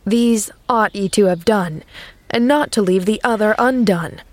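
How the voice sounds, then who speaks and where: A woman's voice: mid-range voice, measured pace, a little mellow, natural voice. A woman reading aloud and voicing a stern, troubled middle-aged male minister who speaks solemnly and declaims scripture. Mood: denouncing.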